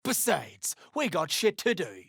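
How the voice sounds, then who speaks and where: A gruff, greedy dwarf mercenary for a fantasy game. The voice is heavily fried and gravelly, with a forceful bright edge. The coarse, threatening lines swing widely in pitch. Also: huge pitch swings, unhurried, no echo, clean studio sound, full character voice. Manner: coarse, swearing, dialect-heavy threats. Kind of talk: video game character voice acting.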